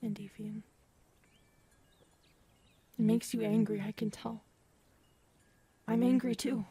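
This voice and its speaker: A woman playing a big, earnest predatory alien woman in an intimate audio roleplay, soft and hesitant, often murmuring. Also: a little high, level tone, takes it slowly, breathy edge, dry recording, exaggerated voice. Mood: earnest, wounded. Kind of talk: audio roleplay acting, addressed to listener.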